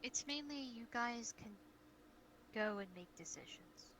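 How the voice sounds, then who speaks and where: A woman's voice: on the high side, lively intonation, unhurried, pushed and bright. A woman playing a caring, cautious guild leader in an improvised fantasy role-play, speaking earnestly and hesitantly over online voice chat. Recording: noisy online voice-chat audio.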